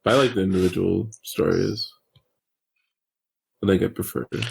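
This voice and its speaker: A man's voice: deep voice, steady tone, moderate pace, creaky, slightly rough. A man chatting casually as a podcast panelist, informal and prone to swearing. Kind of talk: casual chat.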